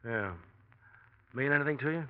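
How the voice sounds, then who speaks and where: Terse American male actor as radio detective, medium pitch, casual probing, muffled vintage recording. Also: normal speed, natural voice. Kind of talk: 1940s radio acting.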